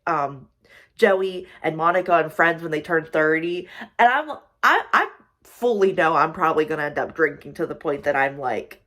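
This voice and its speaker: A woman's voice: on the low side, a bit bright. Rambling chatty woman in her twenties. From United States. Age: 20s. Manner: rambling, many fillers, talks through worries openly. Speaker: social worker and vlogger. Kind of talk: casual chat.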